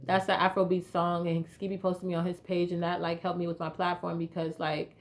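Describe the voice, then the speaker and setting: A woman's voice: lowish voice, monotone, a little fast. A young woman from North Carolina, a guest rapper chatting casually in slang with lots of "you feel me". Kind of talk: casual interview chat.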